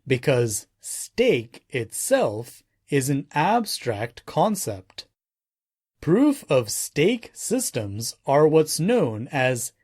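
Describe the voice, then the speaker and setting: A man reading a non-fiction audiobook from a script, with deliberate, emphatic phrasing. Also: medium pitch, darkish tone, husky, no room sound, clean studio sound. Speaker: audiobook narrator.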